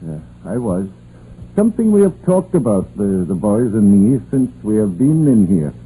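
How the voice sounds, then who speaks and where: Older Welsh miner, vintage radio play. Solemn, principled, halting; dark voice, swinging intonation, hissy recording. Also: male voice, on the low side, sing-song, low-quality recording. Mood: solemn, troubled. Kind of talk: 1950s radio drama acting.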